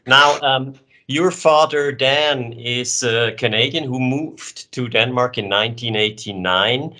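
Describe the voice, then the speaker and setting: Bright, forceful man interviewing. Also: mid-range voice, takes it slowly. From Switzerland. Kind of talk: conversational sports interview questions.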